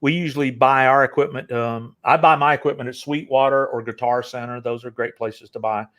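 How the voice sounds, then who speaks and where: A man's voice: medium pitch, steady tone, fairly quick, fairly bright tone. An older man hosting a casual interview, talking in long rambling stretches full of fillers and restarts. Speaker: interview host.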